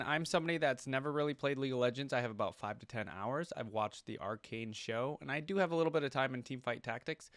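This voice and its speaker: A man's voice: medium pitch, a bit bright, clear, resonant tone, dry recording, studio quality. A man hosting a reaction video, talking casually as he comments along.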